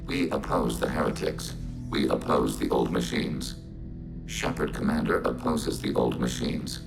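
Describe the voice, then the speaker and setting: An actor voicing a calm, literal Geth machine that speaks as a collective "we" in a flat, synthetic drone. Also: male voice, a bass voice, unhurried, creaky, over music, exaggerated voice, rough recording. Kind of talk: video game cutscene acting. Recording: game audio with score.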